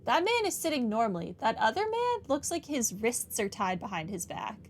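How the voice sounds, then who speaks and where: A woman's voice: fairly high pitch, wildly swooping pitch, moderate pace, slight background noise. A woman hosting a game playthrough, giving casual commentary with wry, sarcastic asides. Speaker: video game playthrough host. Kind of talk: casual game commentary, reading dialogue aloud.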